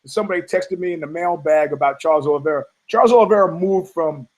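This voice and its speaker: A hoarse man hosting a podcast, rambling through a casual, profane monologue full of restarts and asides. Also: a little high, quick pace, rough recording. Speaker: podcast host.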